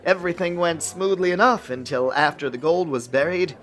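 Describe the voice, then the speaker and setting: High-pitched, dry intelligence official, acted by a man. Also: a little fast, fairly bright tone, natural voice. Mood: explanatory. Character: measured, secretive government intelligence official. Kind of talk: radio drama acting.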